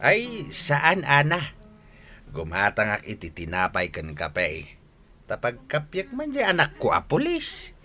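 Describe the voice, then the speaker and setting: A blustering old drunkard father for an Ilocano comedy radio drama. His bright, forceful voice swings widely as he drags out his proud, exclamatory lines at a slow pace. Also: medium pitch, wildly swooping pitch, faint music behind, full character voice. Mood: proud, cheerful. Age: older. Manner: blustering, exclamatory, drawn-out delivery.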